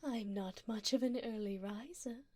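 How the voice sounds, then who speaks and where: A woman voicing a doting, seductive, wealthy vampire in an intimate ASMR roleplay, speaking in a breathy near-whisper close to the mic with tender, genteel endearments. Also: a little high, normal speed, a little mellow, no echo, lightly acted. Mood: doting, fond. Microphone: close binaural microphone.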